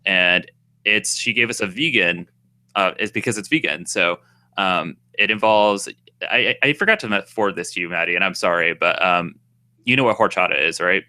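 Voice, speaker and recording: Young new england guy rambling on a podcast, kinda low voice, super bright tone. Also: normal speed. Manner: rambling, many fillers and restarts. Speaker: podcast co-host. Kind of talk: casual chat.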